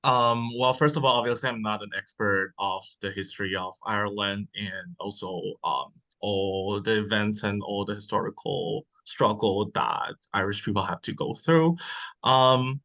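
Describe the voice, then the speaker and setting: A man's voice: lowish voice, normal speed, sharp tone, poor audio. A man from Taiwan, a translator on a panel, answering shyly with hedges and fillers. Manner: shy, hedging, with nervous fillers. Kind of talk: conversational answer in a panel discussion.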